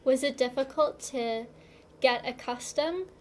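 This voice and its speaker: A woman interviews an older guest, asking questions and gently prompting her along. Her voice sits a little high. A faint hiss runs under the recording. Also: a little slow. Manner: gently prompting, brief affirmations.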